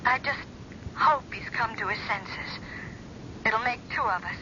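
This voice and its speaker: An actress playing a tired, resentful wife in a 1970s radio drama, speaking bitterly. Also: female voice, a little high, unhurried, close to an everyday voice. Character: weary, resentful wife at her limit. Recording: dull old radio broadcast with noise.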